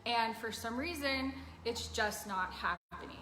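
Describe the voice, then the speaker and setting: A woman talking casually about herself as a coaching client, halting and peppering her sentences with "like". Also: fairly high pitch. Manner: halting, conversational, heavy use of "like". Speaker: coaching client working in real estate. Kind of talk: casual personal chat. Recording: echoey room with background noise.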